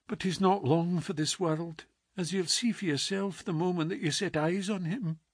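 Fretful old landlady, raised pitch, husky, read by a man. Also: fairly even intonation, moderate pace, dry recording, clean studio sound. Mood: anxious pleading. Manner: urgent, pleading. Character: worried elderly landlady, anxious and pleading.

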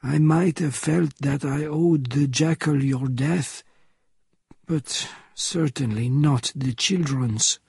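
Old French killer, played by a male narrator: weary, grave, measured, heavily rasped voice, storybook thriller. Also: a little high, darkish tone, no room sound, pitched above the performer's own voice, full character voice. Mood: grave, resigned. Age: older.

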